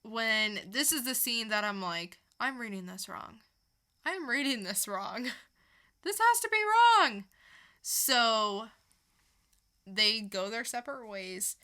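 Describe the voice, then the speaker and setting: A woman's voice: a little high, expressive, slow pace, sharp tone. A woman co-hosting a podcast, chatting casually through a comic book review and retelling it with casual swearing. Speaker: podcast co-host.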